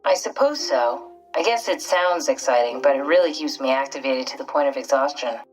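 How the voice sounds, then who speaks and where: A pragmatic scientist in a video game, reserved and reflective. Her delivery is measured and matter-of-fact. The voice sounds slightly distant, with music playing underneath. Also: rumbling low. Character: pragmatic, reserved woman scientist, now a digital consciousness. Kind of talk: naturalistic video game acting.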